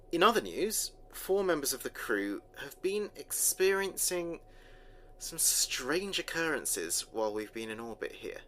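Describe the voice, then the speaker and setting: A man playing an earnest space communications officer, recording logs in a measured, thoughtful way for a sci-fi audio drama. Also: medium pitch, a bit bright, a little hiss or hum. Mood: serious, uneasy. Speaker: communications officer keeping a mission log. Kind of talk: audio drama log narration.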